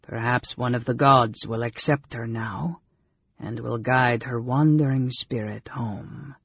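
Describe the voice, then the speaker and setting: A man voicing a gentle, grave male companion in a storybook, speaking softly in a calm, consoling way. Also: vocal fry, husky, dry recording. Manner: soft, low, measured. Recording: dull lo-fi recording, very dry.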